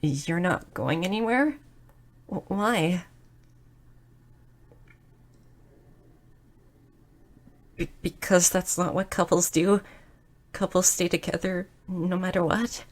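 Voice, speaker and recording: A woman's voice: lowish voice, a little slow. A woman playing a shy, clumsy, sweet young goblin girl who stammers earnestly in an intimate romantic audio roleplay. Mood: apologetic, unsure. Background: music.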